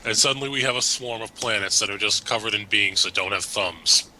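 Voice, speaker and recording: An American man co-hosting a casual podcast chat, teasing his co-host with quick, pedantic quips. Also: lowish voice, pushed and bright, a little hiss or hum, rough recording. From United States.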